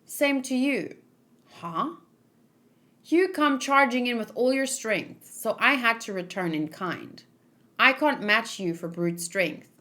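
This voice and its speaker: A woman's voice: a little high, animated, slow pace, bright, forceful tone, exaggerated voice. Woman reading as blunt young manga fighters. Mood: taunting, sparring. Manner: blunt, challenging. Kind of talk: dramatic read-aloud of manga dialogue.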